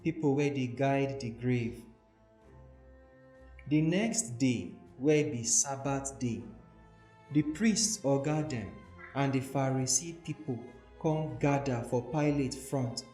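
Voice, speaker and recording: A man with a Nigerian accent reading scripture as a measured, deliberate dramatic narration. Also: medium pitch, dark tone. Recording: echoey voice recording with music. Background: soothing background music.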